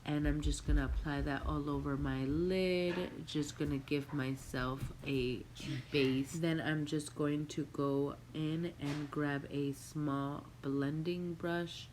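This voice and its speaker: A young woman with a stuffy nose from a cold, chatting relaxed to viewers as she walks through a makeup tutorial at home. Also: deep voice, slow pace, background noise. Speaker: beauty vlogger. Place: home room with a Christmas tree.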